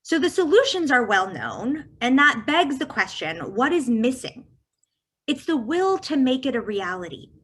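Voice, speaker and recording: A woman from California giving a prepared talk as guest speaker, walking through figures on slides in a measured way. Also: sits high, very animated, a bit bright, breathy edge.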